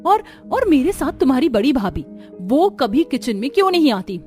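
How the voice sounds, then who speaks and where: A woman's voice: high voice, sing-song, normal speed, darkish tone, soft background music, pitched above the performer's own voice. A woman voicing a resentful, complaining young daughter-in-law in a cartoon, with a sarcastic, dramatic, nagging manner. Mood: angry.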